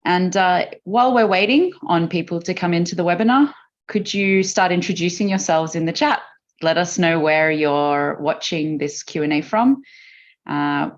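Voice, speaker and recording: Australian woman host for a live online Q&A, lower voice, chatty with ums, muffled call audio. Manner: friendly, chatty, with fillers. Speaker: webinar host. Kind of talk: live webinar hosting. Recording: dull lo-fi video call audio.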